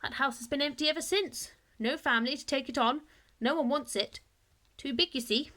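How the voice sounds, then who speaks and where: A woman's voice: high-pitched, fairly quick, bright, forceful tone, pitched above the performer's own voice. A woman voicing a chatty male history teacher in a school storybook. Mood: gossipy, wistful.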